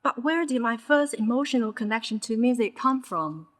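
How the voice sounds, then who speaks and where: A woman in her thirties from mainland China, a Chinese speaker with a Chinese accent touched by Scouse, giving a conference talk in measured short phrases. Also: a little high, animated. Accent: Chinese with a Liverpool (Scouse) tinge. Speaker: conference presenter. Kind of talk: personal storytelling talk.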